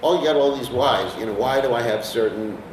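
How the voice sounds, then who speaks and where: A man's voice: medium pitch, brisk, recorded from a distance, slight background noise. American man lecturing in an echoey room. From United States. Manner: conversational, asks the class questions. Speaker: lecturer on scripture. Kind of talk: scripture class talk. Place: class room with listeners.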